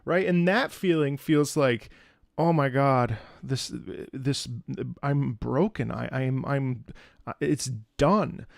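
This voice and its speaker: A man streaming a casual live reaction and musical analysis, animated and conversational, close to the microphone. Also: mid-range voice, slow, creaky, no echo. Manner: animated, conversational, profane, demonstrates by singing. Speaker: streamer and opera singer reacting.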